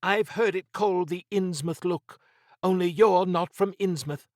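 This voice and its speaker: Plucky adventuring woman played by a man for horror audiobook; lifted pitch, wry, brisk, unflappable. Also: no echo, studio quality. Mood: wry, steady.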